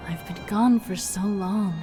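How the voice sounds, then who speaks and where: An actress playing a gentle, reverent village woman in a fantasy game. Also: medium pitch. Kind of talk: fantasy video game voice acting. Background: music.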